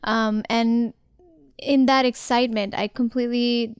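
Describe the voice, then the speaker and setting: A young woman from Nepal, co-hosting a casual podcast, animated and expressive, with frequent ums. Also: fairly high pitch, a little slow, clean tone, no room sound, studio quality. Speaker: podcast co-host. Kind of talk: casual conversational podcast chat.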